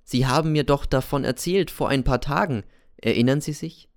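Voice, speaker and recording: A man's voice: medium pitch, normal speed, clean tone, voice pushed up, natural voice. A man reading aloud and voicing a sly, secretive older man who talks with urgent, insinuating eagerness. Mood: confiding.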